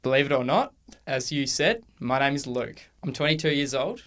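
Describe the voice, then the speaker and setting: An Australian man in his twenties talks in a relaxed, unhurried way, often pausing with ums and starting his sentences over. His voice sits in an ordinary middle pitch. He comes across as a casual podcast co-host. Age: 20s. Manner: relaxed, hesitant with many ums and restarts. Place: university room.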